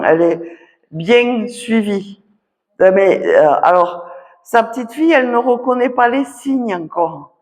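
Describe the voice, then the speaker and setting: A woman magnetiser giving readings at a public talk, speaking haltingly and often pausing on short confirmations. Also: mid-range voice. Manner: halting, punctuates phrases with "voilà" and "d'accord". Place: association conference hall. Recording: long hall reverb.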